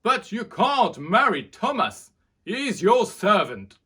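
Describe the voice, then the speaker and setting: Woman acting a loud, emotional storybook king. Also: mid-range voice, very animated, slow pace, husky, voice pushed up, full character voice. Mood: indignant, angry. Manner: loud, emphatic. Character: stern older king, emotional father. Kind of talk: storybook character reading.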